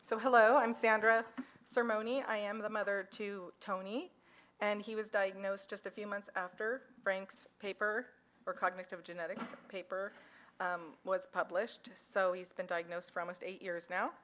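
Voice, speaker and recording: A woman's voice: mid-range voice, steady tone, normal speed, fairly bright tone. A woman addresses a conference hall through a microphone, talking casually about her foundation's work. Her delivery is chatty and self-deprecating, with an easy, informal pace. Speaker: foundation founder and parent speaker. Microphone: handheld or podium microphone.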